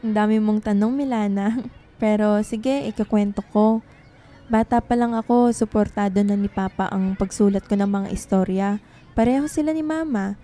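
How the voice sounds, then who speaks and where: A woman's voice: mid-range voice, normal speed, mellow, close to an everyday voice. A woman playing a secretive, melancholy young student writer in radio drama dialogue. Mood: pensive, urgent.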